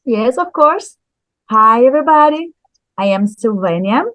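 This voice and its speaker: A woman's voice: on the high side, animated, measured pace, a bit bright, dry recording. Hesitant Brazilian woman in her fifties. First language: Portuguese. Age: 50s. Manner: hesitant, searching for words, with fillers. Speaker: student.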